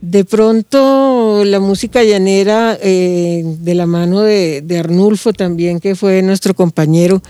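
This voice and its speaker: A woman's voice: mid-range voice, steady tone, slow pace, few pauses, warm tone, clear, resonant tone, dry recording, clean studio sound. A woman in her sixties from Barranquilla with a Colombian Caribbean coast accent, a musician telling her story in an interview. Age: 60s. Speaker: musician guest. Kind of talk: interview conversation.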